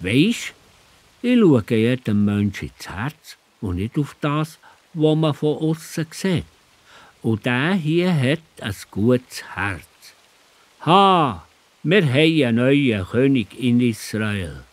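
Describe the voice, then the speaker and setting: A man with a Swiss accent playing an old, pious biblical prophet in a children's audio drama, deliberate and theatrical. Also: medium pitch, very animated, no echo. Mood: reverent.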